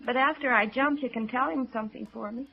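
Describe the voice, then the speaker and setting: A woman's voice: a little high, fast talker, vocal fry, breathy edge. An actress playing a distraught, despairing young woman on the edge, with fragile, bitter delivery in 1950s radio drama style. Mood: weary despair. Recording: dull lo-fi old radio recording.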